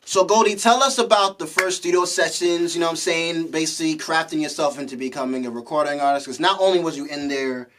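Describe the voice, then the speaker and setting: A man's voice: fairly high pitch, fast talker, hardly any pauses, background noise. A man hosting a casual interview in a slangy hype-man style, repeating his catchphrases. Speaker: host interviewer.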